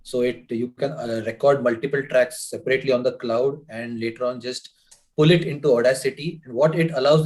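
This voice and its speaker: A man on a video call sharing practical advice, talking through it with quick self-corrections. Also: mid-range voice, darkish tone, low-quality recording. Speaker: club member. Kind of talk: online meeting discussion.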